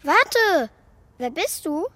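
Small puppet boy, children's audio drama: high pitch, naive, plaintive, earnest. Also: very animated, extremely slow, fairly bright tone, no room sound, heavily acted. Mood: wondering, eager. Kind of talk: children's radio play acting.

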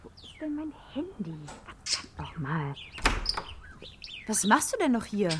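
A harried young mother in a radio play, with a lively, swooping delivery. She grumbles in everyday slang yet is affectionate. Clear background noise with birdsong sits behind her. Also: a little high, measured pace. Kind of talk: naturalistic radio play acting.

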